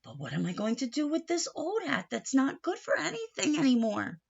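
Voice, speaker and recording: Woman playing fussy storybook uncle, high-voiced and dramatic. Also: very animated, moderate pace, creaky, husky, dull audio, full character voice. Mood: fretful, undecided. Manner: exclamatory, dramatic, arguing with himself. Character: fussy, indecisive grown man, a storybook uncle.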